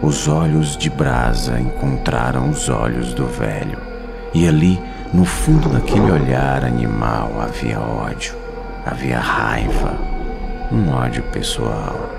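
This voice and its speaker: Extremely deep, gravelly Brazilian horror narrator, male. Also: expressive, takes it slowly. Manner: solemn, suspenseful, short weighted phrases. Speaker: storyteller. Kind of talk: dramatic scripted horror narration. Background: music.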